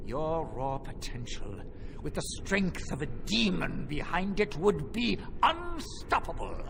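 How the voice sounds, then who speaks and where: A man's voice: a little high, sing-song, slow, creaky, a bit bright, slight background noise, full character voice. A man voicing an arrogant, menacing demonic mage villain in a fantasy game, with a haughty, taunting, theatrical manner. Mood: tempting, menacing. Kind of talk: fantasy video game voice acting. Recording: music behind the voice.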